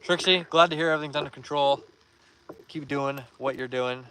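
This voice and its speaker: A young man hosting a live fishing stream outdoors, reading viewer comments aloud and giving upbeat shout-outs in a relaxed voice. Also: fairly high pitch, fairly quick, a little hiss or hum, poor audio. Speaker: fishing livestream host.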